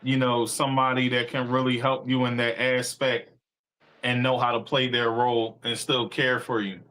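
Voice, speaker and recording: A man's voice: mid-range voice, flat delivery, normal speed, pushed and bright. A man hosting a podcast interview, asking questions in a laid-back, affirming way. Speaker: podcast host.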